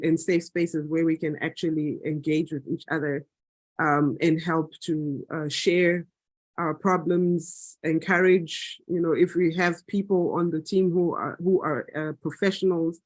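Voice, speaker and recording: Guy at a planning meeting, bit husky, lots of ums and restarts, dry close sound. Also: on the high side, a little mellow, dry recording, low-quality recording. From Zambia. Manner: thoughtful, hesitant, many fillers and restarts. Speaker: meeting participant. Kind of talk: unscripted talk at a planning meeting.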